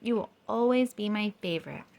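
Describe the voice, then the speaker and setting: A woman's voice: medium pitch, a little fast, vocal fry, darkish tone, voice pushed up, close to an everyday voice. A woman voicing a kind young boy who speaks earnestly and reassuringly.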